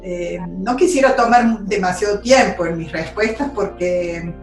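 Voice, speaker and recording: A woman's voice: medium pitch, a little slow, echoey room, soft background music. A woman, giving a hesitant, reflective testimony with many fillers, as a guest on a remote call. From Argentina. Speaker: interview guest. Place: remote call from home abroad.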